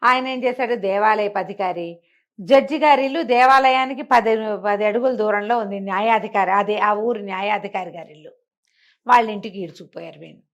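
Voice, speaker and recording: A woman's voice: mid-range voice, brisk. A woman storyteller telling a bedtime folk tale conversationally, repeating and explaining small details as she goes.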